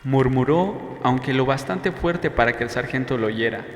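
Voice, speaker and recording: A man's voice: medium pitch, lively intonation, normal speed, faint music behind. A man narrating a novel in a scripted audiobook style, with a reverberant sound and faint noise behind. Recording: echoey, long reverb, faint background noise.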